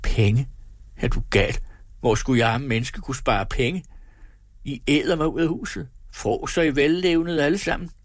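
A man voicing a miserly, pompous, quick-tempered husband in a storybook reading, with theatrical, self-pitying complaints. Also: mid-range voice, no room sound, studio quality, voice pushed up, lightly acted. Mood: agitated, complaining. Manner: theatrical, exclamatory, grandiose complaining.

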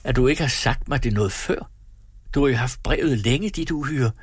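A man voicing a sharp-tongued, scornful wife in a storybook reading, blunt and needling in manner. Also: fairly low pitch, slightly rough, no room sound, studio quality. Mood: exasperated.

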